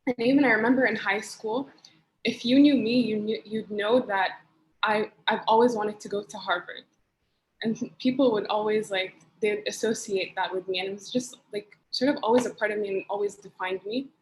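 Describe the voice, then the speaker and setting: Young female panelist, thoughtful and soft-spoken, faint hum behind. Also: mid-range voice, steady tone, normal speed, low-quality recording. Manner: reflective, soft-spoken with fillers. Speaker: student panelist. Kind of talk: casual panel discussion.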